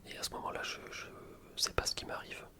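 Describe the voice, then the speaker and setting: A man's voice: quick pace, pushed and bright. A man whispering casual, slangy ASMR commentary over gameplay, explaining things in a rambling way. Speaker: gaming ASMR video creator.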